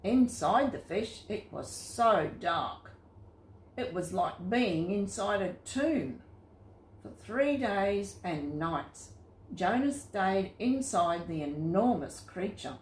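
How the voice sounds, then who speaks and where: Slow-paced woman storyteller in an echoey room. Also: medium pitch, slight background noise. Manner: simple, expressive storytelling for young listeners. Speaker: storyteller for children. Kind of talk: scripted children's Bible story narration. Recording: roomy, echoing sound.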